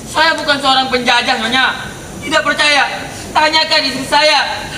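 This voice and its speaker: A young man playing a sly, courteous, persistent gentleman in a stage drama. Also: very high voice, fairly even intonation, normal speed, full character voice. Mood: smooth teasing. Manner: courteous, teasing, insistent. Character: sly, polite, persistent gentleman pestering a married lady. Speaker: student stage actor. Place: outdoor car park stage. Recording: distant echoey stage recording with loud background noise.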